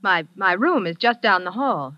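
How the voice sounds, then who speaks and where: A woman's voice: medium pitch, lively intonation, moderate pace, sharp tone, dry recording. A woman playing an anxious, flustered young woman in a vintage radio drama, stumbling over her words. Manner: breathless, flustered. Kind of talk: 1940s radio acting. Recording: dull vintage radio recording.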